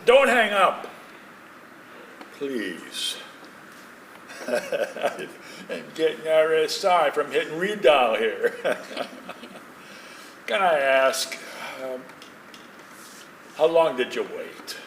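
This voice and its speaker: A man playing a halting old man, echoey stage. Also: a little high, very animated, fairly bright tone, exaggerated voice. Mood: pleading. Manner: halting, pleading, wistful. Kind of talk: stage play acting, dramatic dialogue. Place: stage in a theatre, distant microphone. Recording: echoey, noisy theatre recording from far off.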